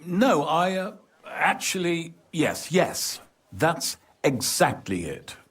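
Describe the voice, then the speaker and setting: Worldly medieval nobleman for a game; rough husky voice, animated intonation, formal and worried. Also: a little high, slow, exaggerated voice. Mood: earnest. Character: worldly, anxious medieval lord seeking a favour. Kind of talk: video game cutscene acting.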